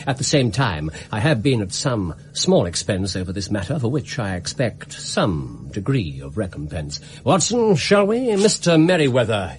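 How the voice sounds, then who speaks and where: A brilliant English gentleman detective in a radio drama. His voice is low and swoops widely, with precise, theatrical, self-assured phrasing. A little noise sits behind him. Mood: brisk, lofty. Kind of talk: British radio drama acting.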